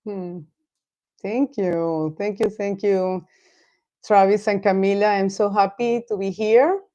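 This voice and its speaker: A middle-aged woman from Venezuela with a Venezuelan Spanish accent and Spanish as her first language, speaking slowly and graciously as a guest mindfulness teacher. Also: medium pitch, steady tone, warm tone. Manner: slow, careful, gracious. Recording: dull lo-fi audio.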